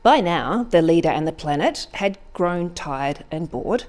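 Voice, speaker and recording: A woman reading a whimsical short story aloud at a live event, expressive and deliberate, with dramatic pauses. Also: lowish voice. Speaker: story reader.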